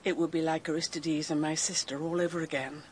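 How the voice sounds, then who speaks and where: An actress playing a proud, dutiful older British great-aunt with a brisk, no-nonsense manner, in a radio drama. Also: female voice, sits low, level tone, a little hiss or hum, lightly acted, poor audio. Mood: grave, resolute. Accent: British English.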